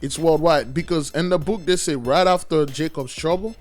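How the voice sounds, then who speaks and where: A man with a raspy voice hosting a religious video, preaching with emphatic stress on key words. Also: a little high, normal speed. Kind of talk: preaching commentary. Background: faint music.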